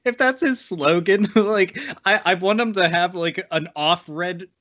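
A man's voice: high voice, a little slow, a bit bright, highs cut off. A man chatting casually and comically as a talk show panelist, swearing freely in rambling riffs. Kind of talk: casual comic political chat.

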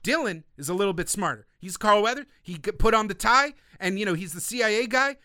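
Man, podcast co-host, casual film chat, animated, swears, slightly high voice. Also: fast, no echo, studio quality. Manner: animated storyteller, swears freely.

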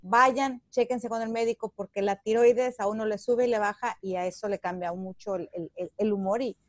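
A woman's voice: mid-range voice, fairly even intonation, moderate pace, no room sound, studio quality. A woman from Mexico with a Mexican accent, talking candidly in an emphatic, explanatory conversational way.

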